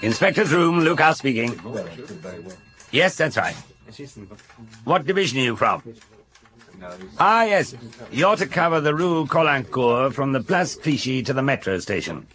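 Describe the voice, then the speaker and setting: An actor playing a seasoned older police inspector in a radio drama, brisk, wry and matter-of-fact. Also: male voice, mid-range voice, expressive, a bit bright. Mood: brisk, businesslike. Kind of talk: British radio drama acting.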